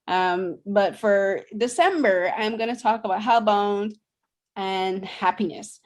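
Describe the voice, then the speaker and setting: A woman's voice: mid-range voice, slow. A young woman from Barbados co-hosting a podcast, chatting casually about shows she watched, with lots of fillers and restarts. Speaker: podcast co-host.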